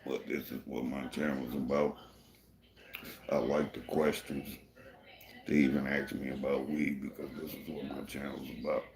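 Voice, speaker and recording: Laid-back male livestream host, very low gravelly voice, echoey room, rambling delivery. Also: level tone, normal speed. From Michigan, USA. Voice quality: deep gravelly voice, people say it suits radio. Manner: laid-back and rambling, many "you know" fillers. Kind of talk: casual livestream chat answering viewers.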